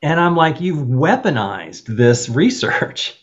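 A man on a discussion panel, telling animated stories with wry asides. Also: a little high, slow. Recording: muffled lo-fi audio.

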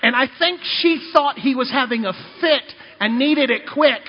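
A man's voice: extremely high pitch, normal speed, a little hiss or hum. A male preacher and missionary giving a sermon, telling humorous personal stories and calling out to the congregation. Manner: storytelling, calls out to the congregation. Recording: dull lo-fi recording in a reverberant hall.

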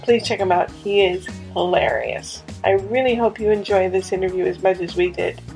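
A woman's voice: medium pitch, fairly even intonation, normal speed, creaky, darkish tone, faint music behind, rough recording. A woman podcast host introducing and narrating in a wry, conversational way. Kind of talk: scripted podcast narration and interviewing.

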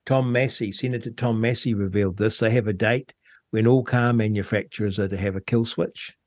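A man with a New Zealand accent talking in a casual radio discussion as host, with a hesitant, halting delivery. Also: fairly low pitch, fairly even intonation, darkish tone, dry recording, clean studio sound. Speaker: talk show host.

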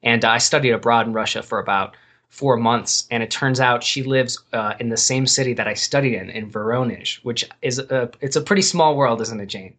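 A man's voice: medium pitch, fairly quick, fairly bright tone. A man hosting an interview over a call, talking loosely with plenty of "um"s and restarts as he introduces and questions his guest. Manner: loose and rambling, lots of "um" and self-corrections. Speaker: podcast host.

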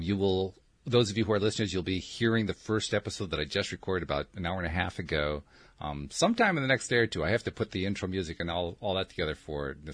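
A low-pitched man hosting an interview program, talking in a loose, chatty way full of ums and restarts. His voice sounds dry and close, with no room around it. He laughs easily and greets his guests. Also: a bit bright, dry recording. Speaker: show host. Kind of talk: casual interview chat.

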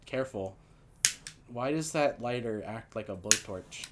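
A man with a nasal voice hosting a casual comedy podcast, telling absurd stories deadpan with lots of fillers into a podcast mic. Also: fairly low pitch, a little slow, background noise. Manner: rambling, deadpan absurd storytelling with many fillers. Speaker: podcast host. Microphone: podcast microphone.

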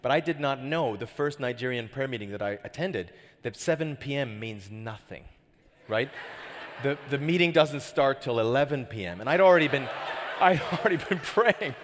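A man's voice: mid-range voice, lively intonation, moderate pace, slight background noise. A middle-aged Canadian man preaching a sermon as pastor, chatty and joking, often asking the crowd questions. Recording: hall reverb.

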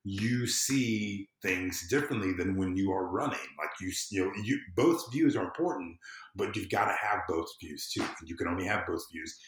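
Guy podcast guest, lowish voice, casual chatty, roomy echoey audio. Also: animated, moderate pace, fairly bright tone. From the American South. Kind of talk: casual chat. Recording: echoey room sound.

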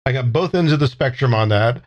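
A man's voice: medium pitch, animated, brisk, dull audio. A man with a wry, joking manner playing a tabletop game in casual banter. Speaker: player.

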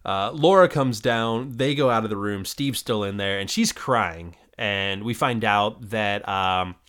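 A man's voice: fairly low pitch, measured pace, a bit bright, clean tone. A man co-hosting a podcast, retelling sitcom scenes in a rambling, casual way with lots of fillers. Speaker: podcast co-host recapping a sitcom episode. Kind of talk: casual chat.

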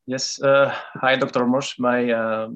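A man's voice: medium pitch, little pitch movement, slow pace, fairly bright tone. A man, an English speaker calling in and talking hesitantly with many fillers. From Greece. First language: Greek. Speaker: caller.

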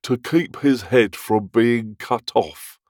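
A man's voice: medium pitch, takes it slowly, a little mellow, no room sound, clean studio sound, natural voice. A man voicing a solemn, fussy little storybook man with grave earnestness.

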